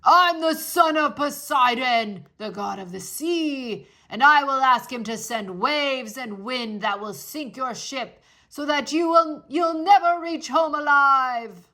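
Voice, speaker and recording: A woman's voice: sits high, slow pace, exaggerated voice. A woman voicing a furious blinded male giant Cyclops, cursing and menacing in a children's storybook reading. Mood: furious, vengeful. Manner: loud, menacing declaiming. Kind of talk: children's storybook read-aloud.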